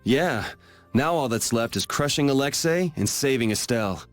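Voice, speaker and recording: A man's voice: medium pitch, lively intonation, normal speed, close to an everyday voice. An actor playing a confident young male swordsman hero with a dry, blunt, self-assured way of talking. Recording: game music underneath.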